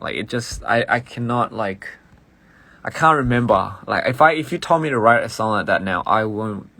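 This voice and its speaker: Gravelly, low-voiced man rambling quickly. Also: fairly bright tone. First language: English. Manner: rambling, halting, full of "like" and restarts; switches between English and Korean. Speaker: musician on a live stream. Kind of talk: casual live-stream chat.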